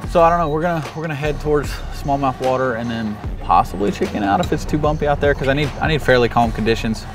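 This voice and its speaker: Young man, slightly high voice, casual fishing commentary, outdoors on a lake, clip-on mic, music in the background. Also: expressive, fast talker. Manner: casual, talks to himself and the camera crew between casts. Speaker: professional bass angler. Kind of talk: running commentary while fishing. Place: outdoors on a bass boat on an open lake.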